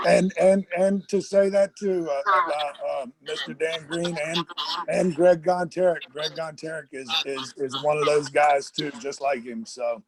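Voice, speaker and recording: A man with a slightly high voice chats as a sports guest on an interview show. He is earnest and leans on repetition to make his points. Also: fairly even intonation, a little slow, crowd noise, rough recording. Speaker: athlete guest. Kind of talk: casual interview chat.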